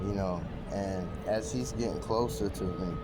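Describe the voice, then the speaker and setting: A man about to turn fifty, telling a work story in casual, animated street slang, repeating himself as he goes. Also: low-pitched, normal speed, loud music behind the voice. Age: 40s. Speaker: airport wheelchair attendant.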